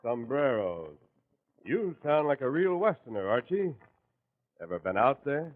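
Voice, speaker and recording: An actor playing a hearty, courteous cowboy hero in 1940s radio style. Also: male voice, medium pitch, very animated, a little slow, narrow, tinny audio. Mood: genial. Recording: husky, phone-thin 1940s radio recording.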